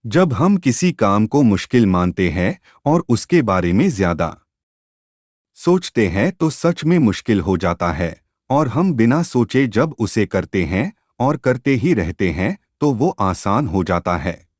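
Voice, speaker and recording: A man voicing a wise, patient grandfather in his seventies who gives unhurried, instructive advice in a storybook tale. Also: on the low side, very animated, darkish tone, dry recording, clean studio sound. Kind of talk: storybook narration.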